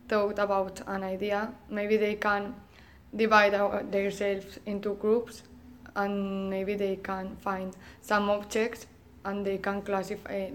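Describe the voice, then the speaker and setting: A young woman explaining carefully with hesitant pauses, co-hosting a teacher podcast. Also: medium pitch, a little hiss or hum. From Spain.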